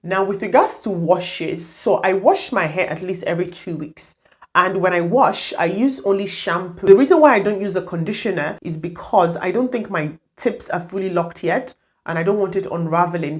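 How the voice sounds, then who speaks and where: A woman with a voice pitched a little low talks in a casual vlog style. She addresses her viewers directly, chatty and explanatory as she goes. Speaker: hair vlogger. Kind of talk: casual vlog update to camera.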